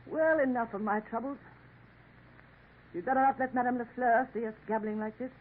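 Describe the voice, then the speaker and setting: An actress playing a sorrowful young woman in a 1950s radio drama, gentle and hesitant. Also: on the high side, steady tone, moderate pace, lightly acted. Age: young adult. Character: sorrowful young woman working a Paris café. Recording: tinny old radio broadcast, faint hiss.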